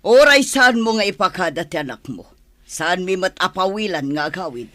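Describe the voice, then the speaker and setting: A woman's voice: lowish voice, animated, moderate pace, fairly bright tone, no room sound, studio quality. An actress playing a blunt, fussy older village mother-in-law in a radio drama.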